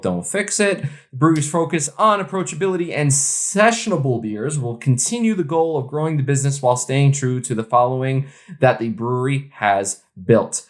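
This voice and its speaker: A man with a medium-pitched voice co-hosts a podcast. He talks in an informal, colloquial way, moving between reading out news and casual banter. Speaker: podcast co-host.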